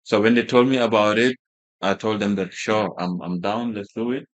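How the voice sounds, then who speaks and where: Hesitant Wolof-speaking man, low voice. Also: fairly even intonation, moderate pace. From Gambia. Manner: hesitant, many fillers and restarts. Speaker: fashion brand founder, guest. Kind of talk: casual interview talk.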